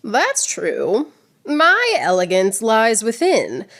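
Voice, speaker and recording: A woman's voice: a little high, wildly swooping pitch, slow pace, fairly bright tone, heavily acted. A woman playing a proud, witty swordswoman poet in radio theatre, declaiming verse with mocking precision. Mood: proud, sardonic.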